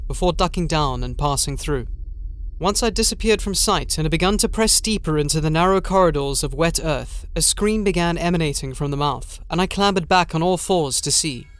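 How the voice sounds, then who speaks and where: Smooth, higher-voiced man narrating horror. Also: a bit bright. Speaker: audiobook narrator and author. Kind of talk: first-person horror audiobook narration.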